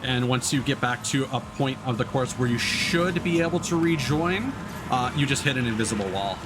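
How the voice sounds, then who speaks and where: A man's voice: mid-range voice, expressive, moderate pace, very noisy. A man giving live video game commentary as a streamer, sweary and grumbling as he talks. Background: racing game music and engine sounds.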